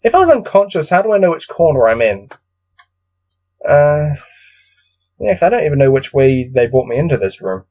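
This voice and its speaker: A man's voice: fairly high pitch, big pitch swings, moderate pace, pauses often, darkish tone, dull audio. A man reading a gamebook aloud as host of a let's-play, musing to himself with casual, self-deprecating asides.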